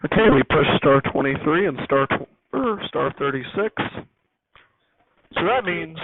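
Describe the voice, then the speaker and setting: A man's voice: on the high side, expressive, a little slow, dry recording. A man giving an unscripted technical walkthrough as a hobbyist host, rambling and correcting himself as he explains. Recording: noisy, tinny phone-like audio.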